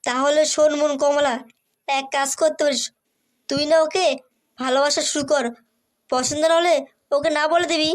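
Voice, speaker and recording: A woman's voice: high voice, fairly even intonation, moderate pace, long pauses, natural voice. A woman voicing a bossy, teasing elder sister who coaxes and advises in a comic cartoon. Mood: teasing, coaxing.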